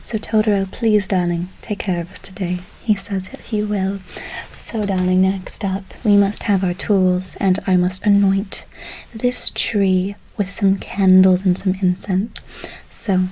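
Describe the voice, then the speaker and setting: A woman playing a gentle, doting witch in a whispered ASMR role play, slow and soothing with a breathy voice, repeating words softly. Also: mid-range voice, flat delivery, slight background noise, natural voice, rough recording. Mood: doting, earnest. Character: gentle doting forest witch casting a spell. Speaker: ASMR performer.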